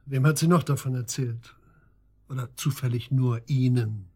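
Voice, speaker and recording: An actor playing a terse, sceptical police detective who puts curt, probing questions in a radio drama. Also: male voice, medium pitch, fairly even intonation, moderate pace, dark tone, slightly rough, natural voice. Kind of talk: German radio drama acting, interrogation dialogue.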